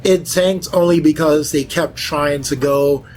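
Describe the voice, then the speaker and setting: A man with a notably high voice talks casually as a fan on a panel. He is animated and often repeats a phrase to stress it. Faint music plays behind him. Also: measured pace. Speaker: panelist, video game fan. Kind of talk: casual chat.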